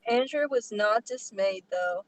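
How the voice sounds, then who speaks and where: A woman's voice: mid-range voice, expressive, a little slow, slight background noise, low-quality recording. A woman reading an online story aloud as a podcast co-host, in a casual way with sarcastic asides.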